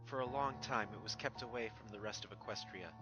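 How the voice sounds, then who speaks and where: A man's voice: lowish voice, brisk, music in the background. A man who reads fan fiction aloud, in casual introductions and narrated story passages.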